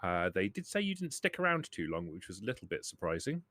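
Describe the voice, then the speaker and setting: A man voicing a businesslike trader in a tabletop game, dry, knowing and unhurried. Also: low voice, very animated, a bit bright, dry recording. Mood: politely probing. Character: businesslike male trader on a radio call.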